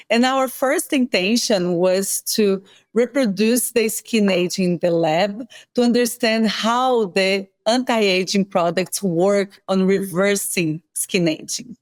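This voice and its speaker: A woman with a Brazilian accent explains things in a measured, explanatory way, pausing to correct herself. Her voice sits in a middle pitch, close and dry on the mic, with no room sound. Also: dry recording. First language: Portuguese. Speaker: guest scientist. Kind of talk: interview explanation.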